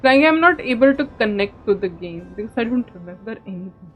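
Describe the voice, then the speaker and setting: A woman's voice: medium pitch, animated, brisk, narrow, tinny audio, soft background music. A woman chatting casually as she streams a game. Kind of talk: casual livestream chat while gaming.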